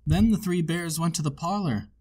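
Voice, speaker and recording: A man reading a children's storybook aloud as narrator, with measured, expressive storytelling phrasing. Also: on the high side, slightly rough. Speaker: storybook reader.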